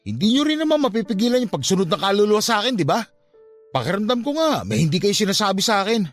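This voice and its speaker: A man's voice: sits high, sing-song, quick pace, dry recording, soft background music. An actor playing a defensive, curious young nephew in a radio drama, protesting and questioning his aunt.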